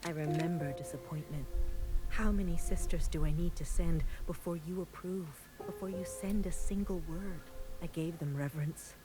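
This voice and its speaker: An actress voicing a bitter, secretly rebellious clone sister in a measured, confessional game monologue, sometimes breathy. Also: female voice, on the low side, over music, natural voice, poor audio. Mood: wounded, bitter.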